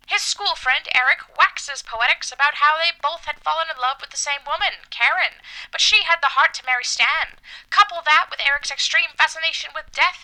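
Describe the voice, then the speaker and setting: Lady horror show host, high super bright voice, reading a spooky intro real theatrical. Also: poor audio. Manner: theatrical, macabre. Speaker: podcast host.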